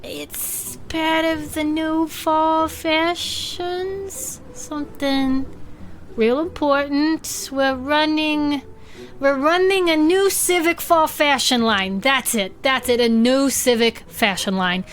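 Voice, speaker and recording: A woman's voice: sits high, fairly even intonation, slow. A woman playing a cowardly, bumbling male deputy in an improvised audio drama, pleading and defensive, often repeating words. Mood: flustered bluffing.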